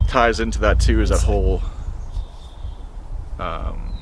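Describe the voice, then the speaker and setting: A young man narrating a commentary video in a measured, lecturing way. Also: fairly low pitch, fairly even intonation, slight background noise.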